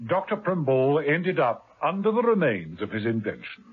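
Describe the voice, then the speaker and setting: A man giving scripted narration for a 1970s radio drama, brisk and measured. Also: on the high side, wildly swooping pitch, heavy background noise. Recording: muffled old radio broadcast with music.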